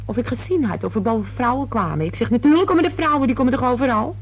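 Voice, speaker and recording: A woman's voice: on the high side, animated, fast talker, breathy edge. An actress playing a gabby, indignant young working-class cleaning girl in a radio play, talking colloquially.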